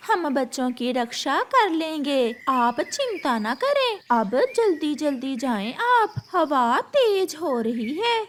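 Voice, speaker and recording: A woman's voice: high voice, sing-song, slow pace, few pauses, a little hiss or hum, heavily acted. A woman voicing an eager, reassuring female bird friend in a cartoon.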